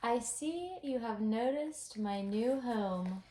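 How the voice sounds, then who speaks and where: A woman reads storybook dialogue aloud in a somewhat high voice. The room around her adds a clear echo to the home recording. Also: lively intonation, slow, dark tone. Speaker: co-reader on a child's home video.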